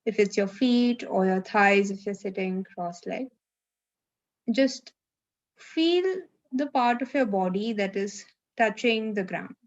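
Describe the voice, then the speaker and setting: A woman leading a live guided meditation, giving gentle, unhurried instructions with long pauses, over a video call. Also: medium pitch, dull audio.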